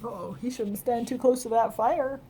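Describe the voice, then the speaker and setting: High-voiced woman reading to children. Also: normal speed, mellow, slight background noise, low-quality recording. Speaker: storybook reader talking to children. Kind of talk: animated children's read-aloud.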